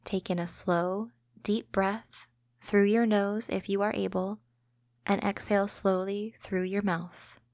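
A woman hosts a guided relaxation, reading scripted imagery in a calming, measured manner. Her medium-pitched voice stays quite level, with little rise or fall. The sound is dry, free of room echo. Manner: gentle, calming, measured delivery. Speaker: host and narrator of a guided meditation podcast.

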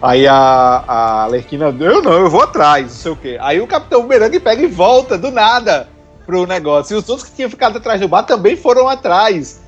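Brief: blunt, exasperated Brazilian co-host, mid pitch, faint music under the talk. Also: big pitch swings, a little fast, slightly rough, lo-fi sound. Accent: Brazilian Portuguese. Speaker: podcast co-host. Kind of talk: casual podcast chat.

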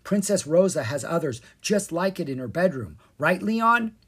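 A sensible young girl from a children's storybook, acted out by the storyteller. She sounds skeptical and questioning, with lively pitch movement. Her tone stays matter-of-fact, with a husky edge. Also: normal speed.

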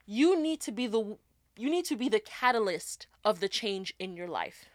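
A young woman co-hosting a casual podcast, animated and laughing often as she gives advice point by point. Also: mid-range voice, moderate pace, no room sound, studio quality. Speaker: podcast co-host. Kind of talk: casual chat.

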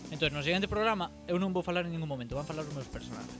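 A man with a Galician accent trades quick, joking banter as a radio co-host. He speaks fast in a slightly high voice, with clear music in the background. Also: lively intonation. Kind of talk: casual chat.

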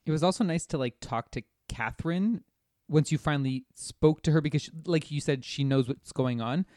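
Male podcaster, slightly high voice, casual and detailed recounting, very dry recording. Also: brisk, a little mellow, no echo. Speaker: podcast guest or host discussing a video game. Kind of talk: casual chat.

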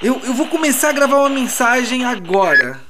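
A man with a Brazilian accent playing a flustered, foul-mouthed merchant stranded in wreckage, talking haltingly and agitatedly in an audio drama. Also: very high-pitched, very animated, noisy background. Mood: flustered, anxious. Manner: agitated, halting, swearing, muttering to himself. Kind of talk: audio drama distress message monologue. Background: music.

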